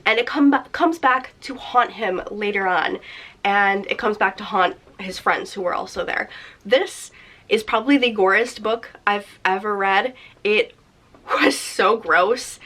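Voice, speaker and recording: A young woman vlogger, slightly raspy from the flu, chatting casually and rambling as she recommends books. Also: medium pitch, measured pace, a bit bright. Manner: bubbly, rambling, self-correcting. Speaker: book vlogger. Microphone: desk mic or camera, seated in chair.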